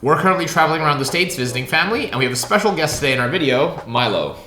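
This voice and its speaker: A young man who grew up in Canada, co-hosting a casual Q&A vlog in a measured, explanatory way. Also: a little high, expressive, sharp tone, slight background noise. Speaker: vlog co-host, former lawyer. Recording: echoey room with background music.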